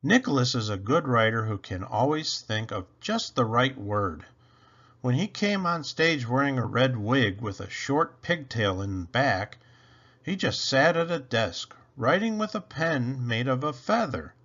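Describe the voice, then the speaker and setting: A man reading a children's picture book aloud in an expressive, deliberate storytelling style. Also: mid-range voice, highs cut off. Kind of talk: animated scripted storybook narration for children.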